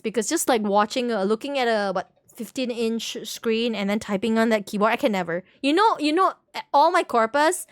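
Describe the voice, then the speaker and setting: A woman's voice: medium pitch, no echo, studio quality. A woman livestreamer chatting casually with her viewers, rambling along, repeating words and searching for terms. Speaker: game livestreamer.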